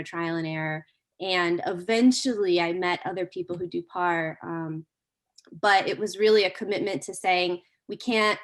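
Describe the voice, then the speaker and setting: Panelist: a woman with a fairly low voice and a reflective, emphatic delivery. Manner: reflective, repeats phrases for emphasis. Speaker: panelist, academic. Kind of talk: panel discussion. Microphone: video call.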